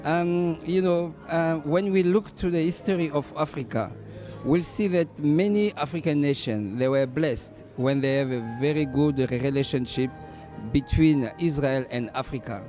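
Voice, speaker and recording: Earnest Congolese-accented reverend, music behind. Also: male voice, a little high, a little mellow. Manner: earnest, halting with many fillers and restarts. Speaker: reverend, event organizer. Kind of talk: interview answers. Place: hotel dinner event.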